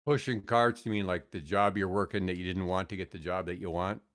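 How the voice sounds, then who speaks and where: Low-voiced man in his sixties telling stories. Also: steady tone, brisk, fairly bright tone. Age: 60s. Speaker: podcast guest, former radio broadcaster. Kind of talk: casual storytelling in a podcast interview.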